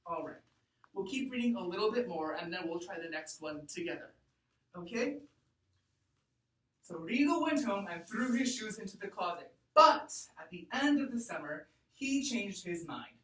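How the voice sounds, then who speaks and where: A woman teacher reading aloud to a class and explaining deliberately and expressively, thinking out loud. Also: medium pitch, expressive. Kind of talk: read-aloud lesson to a class. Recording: echoey room, distant mic.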